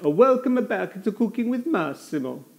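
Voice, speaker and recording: An actor playing an excitable male television cook presenting a cookery show in a video game. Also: sits high, normal speed, gravelly, background noise, full character voice. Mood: showy, insistent. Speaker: TV cooking show host.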